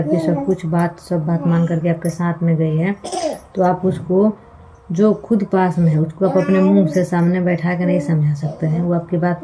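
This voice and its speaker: A woman's voice: fairly low pitch, dark tone, slight background noise, low-quality recording. A woman vlogger giving casual opinion commentary to her viewers in an earnest, pleading manner, repeating points for emphasis. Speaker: video blogger commenting on online couple drama.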